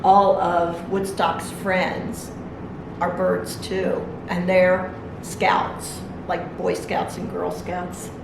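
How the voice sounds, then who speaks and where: A woman's voice: on the low side, flat delivery, extremely slow, gravelly. A woman reading a picture book aloud to young children, adding simple explanatory asides as a library storytime reader. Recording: very echoey room, distant mic, noisy.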